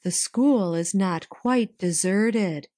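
A woman reading a story, voicing a gentle, solemn spirit in a slow, measured way. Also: mid-range voice, dry recording, studio quality, close to an everyday voice.

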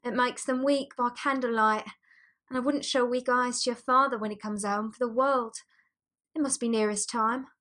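A tender mother in a storybook reading voiced by a woman narrator, pitched fairly high. She sounds sorrowful but steady, gently keeping up a brave face. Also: moderate pace, close to an everyday voice. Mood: bravely subdued. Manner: soft-hearted, bravely steady. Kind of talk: dramatised audiobook character voice.

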